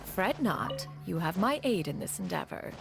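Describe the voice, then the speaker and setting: An actress voicing a dignified, protective immortal bird in a video game, with stately, archaic, formal phrasing. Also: female voice, lowish voice, very animated, moderate pace, gravelly, loud music behind the voice. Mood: reassuring. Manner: stately, archaic, refers to self as "one".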